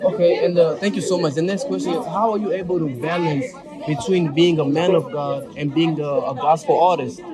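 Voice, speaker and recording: Casting: young male church interviewer, slightly high voice, polite and deferential, background crowd chatter. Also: steady tone, normal speed. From United States. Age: young adult. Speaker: interviewer, church ministry host.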